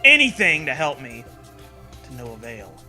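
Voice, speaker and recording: A man's voice: mid-range voice, huge pitch swings, normal speed, music in the background. A man reading a scary story as a narrator, with dramatic, earnest delivery. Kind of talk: dramatic scripted narration of a creepypasta.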